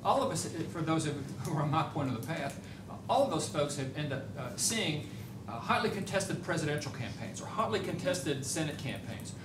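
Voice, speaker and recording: A man's voice: big pitch swings, a little fast. Older male moderator, echoey hall. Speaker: panel moderator. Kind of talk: moderating a panel discussion. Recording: echoey hall, mic from far off, rumble.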